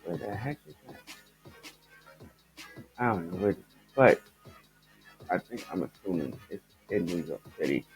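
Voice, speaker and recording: A man hosting his own podcast, his voice raspy and stuffed up, chatting loosely and rambling as he talks straight to his listeners about the news. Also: low voice, animated, a little slow, long pauses, faint music behind, rough recording. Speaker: podcast host. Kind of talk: casual commentary on news stories.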